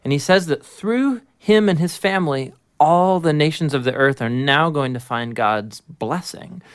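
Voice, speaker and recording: A man co-narrating an educational explainer in a casual, conversational, animated storytelling way. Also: a little high, measured pace, smooth, dry recording, studio quality.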